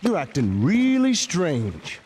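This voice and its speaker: An actor playing a brash, sarcastic young outlaw who talks in a blunt, mocking, quick-tempered way in a video game. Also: male voice, fairly high pitch, huge pitch swings, a little mellow, slight background noise, heavily acted.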